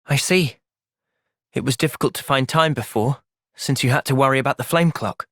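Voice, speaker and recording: A man playing an earnest, thoughtful young soldier and party leader in a video game, sincere and resolute in a measured way. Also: mid-range voice, fairly bright tone, dry recording, studio quality. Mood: calm, thoughtful. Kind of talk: video game cutscene acting.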